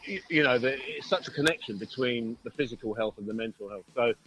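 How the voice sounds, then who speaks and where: A man's voice: mid-range voice, fast talker, background noise. A man, a musician being interviewed by phone on a headset, chatting casually in a jokey, rambling way. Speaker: band musician, interview guest. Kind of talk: casual chat. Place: outdoors, outside a pub. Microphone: phone call through Bluetooth headset.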